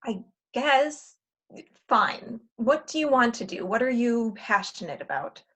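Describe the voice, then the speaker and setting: A woman's voice: a little high, animated, measured pace, fairly bright tone, breathy edge, heavily acted. A woman playing a cynical, money-minded twenty-seven-year-old in a comedy play reading, blunt and insistent. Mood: blunt, dry. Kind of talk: comedy play read aloud.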